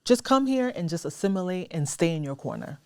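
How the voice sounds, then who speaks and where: A woman's voice: lowish voice, very animated, brisk, vocal fry, darkish tone. A woman from the United States in a talk show debate, questioning and pushing back. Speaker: co-host in discussion.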